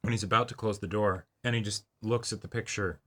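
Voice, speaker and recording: A man co-hosting an anime reaction show, talking casually and reflectively in halting phrases, often checking in with his listener. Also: on the low side, steady tone, bright, forceful tone. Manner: halting, reflective, checks in with "right" and "you know".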